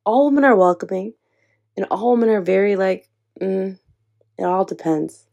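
A woman's voice: mid-range voice, normal speed, creaky, mellow, highs cut off. A young adult woman speaking earnestly and encouragingly, talking personally to her listeners and calling them sis. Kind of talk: heartfelt personal talk to camera.

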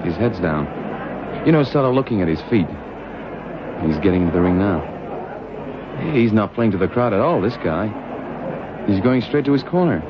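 A man's voice: on the low side, lively intonation, moderate pace, pauses often, a crowd in the background, lightly acted. A man acting as a blind detective's sidekick in a 1960s radio drama, informal, observant and hesitant. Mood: doubtful. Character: young male sidekick describing things for blind detective. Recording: muffled, very noisy old radio recording.

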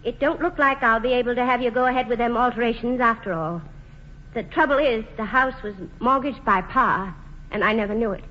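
A woman's voice: fairly high pitch, a little fast, close to an everyday voice. An actress playing a weary, homespun American housewife and mother who speaks plainly, in 1940s radio drama style. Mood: worried, resigned. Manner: homespun, rustic, plain-spoken. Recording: dull vintage radio broadcast, some noise.

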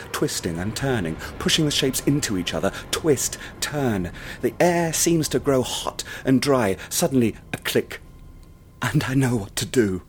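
Man narrating horror, low and hushed. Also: a little hiss or hum. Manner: hushed and intense, rising to ecstatic. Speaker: narrator and protagonist. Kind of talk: dramatic first-person horror narration.

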